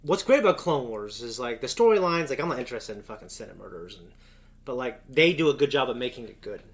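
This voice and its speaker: A man's voice: medium pitch, lively intonation, fast talker. A man chatting casually as co-host of a reaction show, in a jokey, sweary way. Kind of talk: casual chat.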